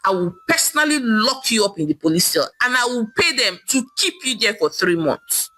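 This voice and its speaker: A woman's voice: on the high side, big pitch swings, normal speed, sharp tone, dry recording, heavily acted. A woman voicing a defiant middle-aged Nigerian wife in a folktale, scolding and taunting with emphatic delivery and a Nigerian accent. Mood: indignant scolding.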